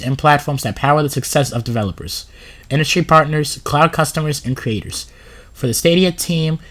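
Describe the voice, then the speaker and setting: Sick man rambling through gaming commentary. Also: a little high. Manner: rambling, with filler words, laughs and restarts. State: sick with a sore throat and runny nose. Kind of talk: casual screen-share commentary, reading a blog post aloud.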